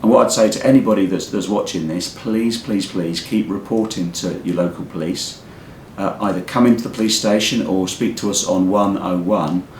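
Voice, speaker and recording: A hoarse man with a croaky voice from a cold, a local police commander giving an earnest off-the-cuff community update. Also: moderate pace, darkish tone. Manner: plain, earnest, repeats words while speaking off the cuff. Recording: echoey room with faint rumble.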